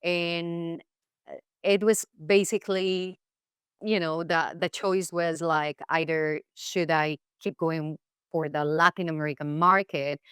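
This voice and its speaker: Kobieta o niskim głosie z kolumbijskim akcentem. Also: female voice, lowish voice, fairly even intonation, slow, a bit bright, clear, resonant tone, no room sound, studio quality. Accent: Colombian Spanish. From Colombia. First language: Spanish. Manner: reflective, many fillers and restarts. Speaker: guest actress. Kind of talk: casual interview chat.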